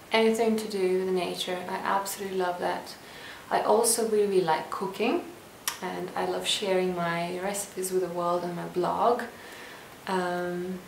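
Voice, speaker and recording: Gravelly-voiced woman in an echoey room. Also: medium pitch, a little hiss or hum. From Lithuania. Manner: calm, reflective, reads questions aloud. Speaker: lifestyle vlogger. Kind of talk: casual to-camera Q&A. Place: indoor room at home. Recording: echoey room, voice a bit distant.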